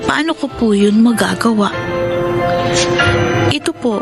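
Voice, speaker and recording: A woman's voice: a little high, a little slow, vocal fry, loud music behind the voice. A woman playing an earnest, polite, hopeful poor young girl from the mountains in a radio drama. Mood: earnest, reflective.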